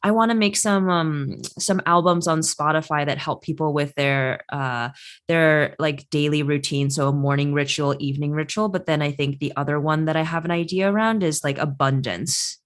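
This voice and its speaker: Animated woman, low voice, lots of "like". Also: normal speed. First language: Chinese. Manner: animated storyteller, quotes people in lively voices; many fillers like "like". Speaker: host, career astrologer. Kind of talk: casual interview chat.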